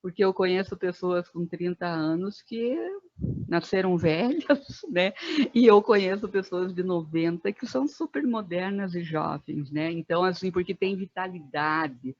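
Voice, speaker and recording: A woman in her fifties, a guest facilitator, speaking thoughtfully in a podcast conversation with many pauses and fillers. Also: fairly low pitch, darkish tone, no echo, highs cut off. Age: 50s.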